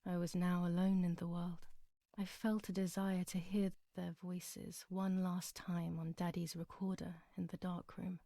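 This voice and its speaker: Haunted young woman narrating a dark war game, soft mellow tone, gravelly fry, very close dry sound. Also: on the low side, little pitch movement, no room sound. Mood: desolate. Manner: sombre, measured, confessional. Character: traumatized young German woman recounting wartime horrors, haunted. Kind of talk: dramatic first-person game narration.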